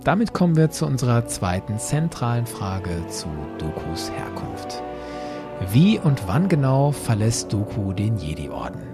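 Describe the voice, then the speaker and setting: A man's voice: mid-range voice, very animated, a little slow, loud music behind the voice. A man hosting a podcast, giving scripted, explanatory narration and commentary with rhetorical questions. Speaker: podcast host.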